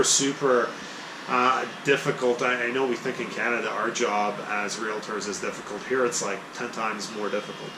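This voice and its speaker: Croatian-born man, realtor, chatty and emphatic with fillers, roomy echo and steady background hum. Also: mid-range voice. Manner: conversational, frequent "uh" fillers, emphatic bursts. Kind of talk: casual talk to camera. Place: abroad, recorded on location.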